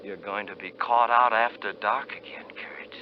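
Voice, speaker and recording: An actor voicing a taunting, menacing inner voice that whispers in a 1950s radio drama. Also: male voice, on the low side, steady tone, unhurried, close to an everyday voice. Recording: dull, hissy old radio broadcast.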